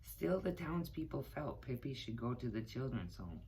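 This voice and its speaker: A middle-aged woman reading a children's picture book aloud, pausing for asides to her young listeners. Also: very deep voice, sing-song, creaky, mellow, a little hiss or hum, low-quality recording. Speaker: children's story time reader, teaching assistant. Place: small cluttered room indoors.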